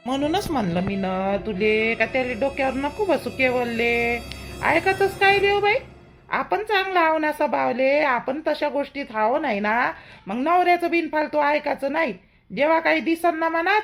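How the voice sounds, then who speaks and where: A woman's voice: high-pitched, expressive, normal speed, fairly bright tone, soft background music. A woman playing a protective middle-aged village mother-in-law in a cartoon, chatty and emphatic, with a Vidarbha Marathi accent. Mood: indignant. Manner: chatty, emphatic, repeats herself. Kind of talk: homely cartoon drama dialogue.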